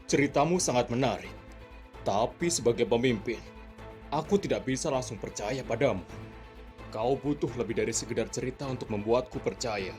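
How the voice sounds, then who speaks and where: A man's voice: medium pitch, expressive, moderate pace, a little mellow, soft background music, lightly acted. A man playing a confident, skeptical leader who commands his men in a comic dub. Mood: amused, skeptical. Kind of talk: Indonesian comic dub acting.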